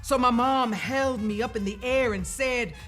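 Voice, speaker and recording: A woman reading a children's story aloud in the first person, with deliberate, expressive storybook delivery. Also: a little high. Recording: music playing behind the voice. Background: music.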